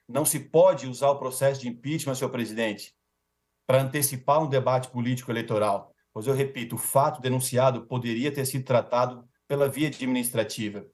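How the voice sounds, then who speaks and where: A man's voice: mid-range voice, a little mellow. A Brazilian man speaking as a defense lawyer in a formal, rhetorical speech with emphatic repetition and ceremonious forms of address.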